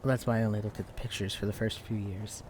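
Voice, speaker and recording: Shy, mellow-voiced young male librarian played by an actor. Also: lowish voice, fairly even intonation, fast, a little hiss or hum, close to an everyday voice. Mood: shy, warm. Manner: shy, hesitant, stammering, hushed. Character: shy, clumsy, bookish young male librarian, eager mythology lover. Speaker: librarian character in an audio roleplay. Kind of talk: intimate audio roleplay acting addressed to the listener. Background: quiet library ambience.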